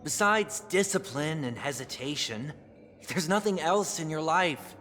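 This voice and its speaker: A man's voice: fairly high pitch, animated, a little slow, pushed and bright, big-room sound, a little hiss or hum. An actor playing the teasing, frivolous alter ego of a prim man in a video game, with a taunting, theatrical delivery. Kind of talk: scripted dramatic game dialogue.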